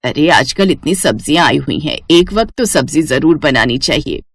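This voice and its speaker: A woman's voice: sits low, animated, normal speed, slightly rough, dry recording, voice pushed down, full character voice. A woman voicing a stern, grumbling old mother-in-law in a scolding manner for a cartoon moral story. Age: older. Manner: scolding, complaining.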